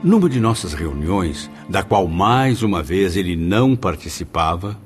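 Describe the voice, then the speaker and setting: A man's voice: deep voice, sing-song, measured pace, soft background music. A Brazilian man playing a troubled spirit apprentice who recounts events in a radio drama, with solemn, dramatic, literary delivery. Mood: solemn, regretful. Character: male spirit apprentice recounting his past, troubled and judgmental. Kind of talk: radio drama narration and acting.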